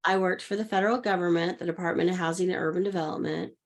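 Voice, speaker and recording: A woman's voice: lowish voice, steady tone, quick pace. A woman around sixty, a guest coach, telling a personal story in a podcast interview and acting out the voices of people she quotes. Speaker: guest, intuitive leadership coach.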